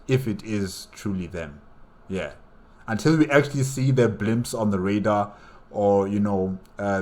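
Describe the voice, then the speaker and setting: A man giving casual reaction commentary as a host, thinking aloud hesitantly and repeating his words. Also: on the low side.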